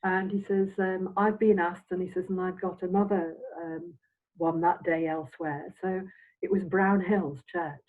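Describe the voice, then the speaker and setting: An older woman from Scotland, a semi-retired minister, reminiscing in a halting, meandering, reflective way as an interview guest. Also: on the low side, fairly even intonation. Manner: halting, meandering delivery with trailing phrases. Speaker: interviewed Spiritualist minister and medium. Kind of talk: reflective, anecdotal chat. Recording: dull, phone-like audio.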